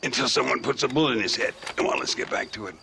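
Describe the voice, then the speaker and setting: An actor playing an aging, wry and world-weary gunslinger in a Western video game, with a gravelly, drawling, theatrical delivery. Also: male voice, mid-range voice, racing, noisy background, close to an everyday voice. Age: older. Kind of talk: game cutscene acting, Western drama.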